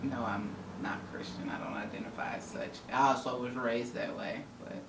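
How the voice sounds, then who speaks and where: A woman's voice: rumbling low, steady tone, moderate pace. A woman from the US Midwest with a Southern-tinged accent, chatting candidly to camera with her wife and often trailing off with "I don't know.". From Indianapolis, Indiana, US Midwest. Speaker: vlogger. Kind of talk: casual couple's chat to camera. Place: home room. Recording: roomy, distant mic with faint noise.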